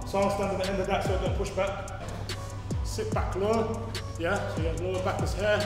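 Young guy shouting encouragement at the gym, kinda high voice, super echoey room from across it, music going. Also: steady tone, a little fast, recorded from a distance. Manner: loud, hyped, encouraging shouts. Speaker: fitness coach and show host. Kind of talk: gym coaching and banter.

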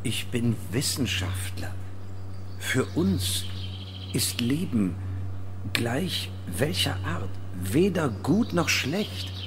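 A man's voice: medium pitch, sing-song, very slow, noisy background. An actor playing an earnest, distressed male scientist in a radio drama. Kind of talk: German radio drama acting.

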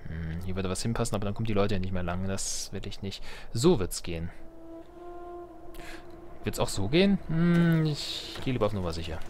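A man's voice: fairly low pitch, lively intonation, normal speed, a little mellow, slight background noise. A man giving casual let's play commentary, thinking aloud and muttering to himself as he goes. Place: indoors, voice-over on game capture.

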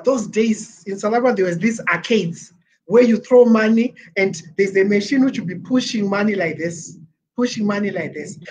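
Zimbabwean man, animated livestream talk. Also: high-pitched, breathy edge, low-quality recording. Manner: animated, gestures a lot, mixes Shona into English. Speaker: livestream presenter. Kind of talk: casual livestream pitch. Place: small plain room indoors. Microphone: webcam at desk.

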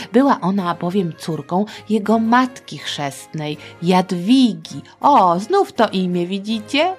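A middle-aged woman hosting a children's history podcast, telling a story in a playful, colloquial, expressive way. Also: medium pitch. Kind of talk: informal scripted storytelling for children.

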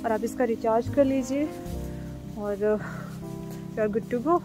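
A woman's voice: fairly high pitch, slow pace. A woman chatting casually through a travel vlog, mixing English words into her Hindi while she walks around. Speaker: vlogger. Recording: loud background music.

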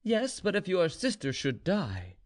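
A man puts on a goading tavern keeper from a storybook tale, with a high voice that leaps up and down. The delivery is exclamatory and taunting, egging the listener on with mockery. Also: huge pitch swings, unhurried, heavily acted. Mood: needling. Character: mocking, goading tavern keeper plying a drunkard.

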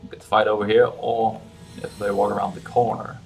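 A man's voice: on the low side, flat delivery, a little fast, music in the background. A man in his thirties giving casual let's play commentary at home, speaking into a headset. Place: small room at home. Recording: clear background noise, keyboard typing.

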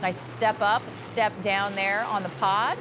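A woman's voice: medium pitch, fairly even intonation, slow pace, background noise. A woman doing upbeat play-by-play commentary on a motorsport show, with engines behind her. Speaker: sports commentator. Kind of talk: arena sports commentary.

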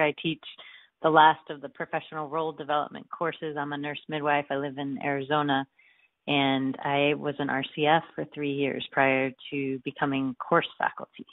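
A woman's voice: deep voice, level tone, normal speed, dry recording. A woman nurse-midwife faculty member speaking earnestly in a webinar over a video call. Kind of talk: webinar talk. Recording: phone-like video call audio.

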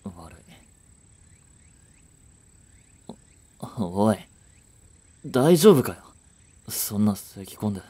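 A man's voice: lowish voice, sing-song, slow, warm tone, slightly rough, heavily acted. A man playing a frustrated young working boyfriend in a romantic audio drama, speaking in blunt, casual masculine language. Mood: upset. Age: young adult.

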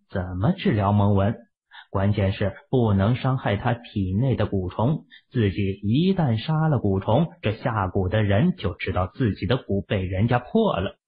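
A man's voice: fairly low pitch, narrow, tinny audio. A man reading a story as a scripted, dramatic audiobook narration.

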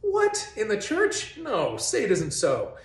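Man in his 40s, sarcastic religious commentary, roomy echo, animated swinging pitch. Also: slow pace. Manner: mock-incredulous, sarcastic, gesturing as he talks. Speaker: host of a faith video series. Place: bright modern living room, indoors.

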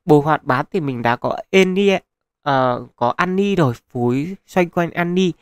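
A man's voice: a little high, animated, unhurried, clear, resonant tone, no echo, studio quality. A man hosting a gaming channel, talking viewers through a mobile game in a casual, chatty way with plenty of fillers. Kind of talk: casual gameplay commentary. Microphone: close mic, voice-over on screen capture.

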